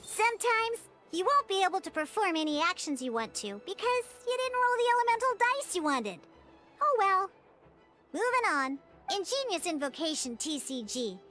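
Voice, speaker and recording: A tiny, peppy game mascot with an extremely high, childlike squeak. The delivery is bright and lively, swinging eagerly through tutorial explanations and chirpy exclamations, with music playing behind. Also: female voice, exaggerated voice. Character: peppy young cartoon game mascot guiding a card tutorial. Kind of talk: video game tutorial dialogue.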